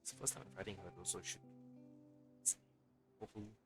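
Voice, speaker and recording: A man's voice: deep voice, little pitch movement, fast talker. A man giving casual let's play commentary on a game, muttering his thoughts aloud. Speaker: game streamer. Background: loud game music.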